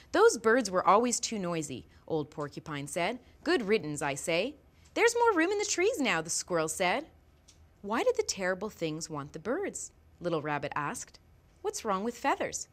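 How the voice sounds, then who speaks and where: A woman teacher reads a picture book aloud to her class. Her low voice rises and falls widely as she tells the story. She reads slowly and expressively. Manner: expressive storytelling, slow and lively.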